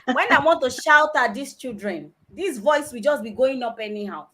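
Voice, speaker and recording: A woman with a Nigerian accent from Delta State, a guest on a panel chat, telling stories in an animated way. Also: sits high, normal speed. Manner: animated, storytelling.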